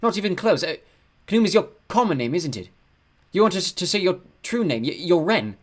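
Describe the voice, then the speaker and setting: A man acts out a quick-witted teenage girl in a fantasy storybook. The voice is lifted high, cheeky and plucky, with a polite hesitance. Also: big pitch swings. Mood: alarmed.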